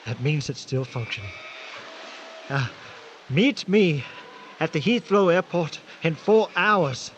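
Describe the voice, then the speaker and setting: A man's voice: a little high, expressive, takes it slowly, long pauses, a bit bright, highs cut off, slight background noise. An actor playing a pompous, peevish rich British man in a comic radio drama, with a theatrical, imperious manner. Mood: indignant, alarmed.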